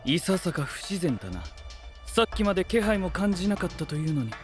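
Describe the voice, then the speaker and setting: An observant, coolly blunt young male knight in an anime audio drama. His voice is high with plenty of movement in pitch, and his wording is formal. Faint music is behind it. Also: sing-song, a little fast. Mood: suspicious.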